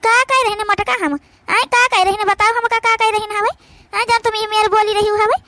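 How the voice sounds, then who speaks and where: A woman's voice: very high-pitched, little pitch movement, moderate pace, heavy background noise. A woman voicing brash, indignant village daughters-in-law in an Awadhi cartoon comedy, scolding and arguing in a rustic manner.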